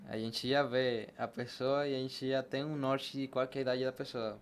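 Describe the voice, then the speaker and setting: A man's voice: mid-range voice, little pitch movement, normal speed. A man, a Spanish speaker, talking casually and explaining things with examples as an interview guest. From Venezuela. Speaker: podcast guest, barber. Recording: noticeable background noise and ambience.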